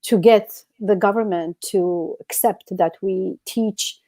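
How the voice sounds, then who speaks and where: A middle-aged woman from Algeria, a native Amazigh speaker, co-hosting a casual live chat and telling things in an animated way. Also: mid-range voice, takes it slowly. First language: Amazigh (Berber). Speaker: co-host.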